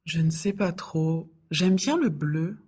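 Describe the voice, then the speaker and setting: A woman speaking softly and hesitantly in an everyday dialogue for learners. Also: low-pitched, animated, slow.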